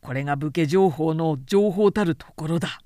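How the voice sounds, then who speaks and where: A woman voicing a calm, principled male samurai in an audiobook, speaking quietly in a low, grave, formal and measured way. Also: no room sound, studio quality, close to an everyday voice. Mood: stern, lecturing. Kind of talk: literary audiobook character reading.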